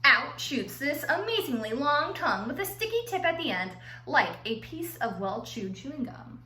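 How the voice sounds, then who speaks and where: A woman teacher reading aloud to children in an expressive, playful way, drawing out words for emphasis and adding chatty asides. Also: high voice, normal speed. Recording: roomy echo with faint background noise.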